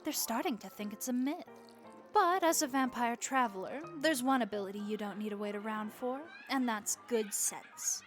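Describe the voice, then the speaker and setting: A woman's voice: on the high side, normal speed, faint music behind, close to an everyday voice. A woman playing a friendly, worldly vampire innkeeper in a comforting audio roleplay, chatty and encouraging with a wry, folksy way of talking.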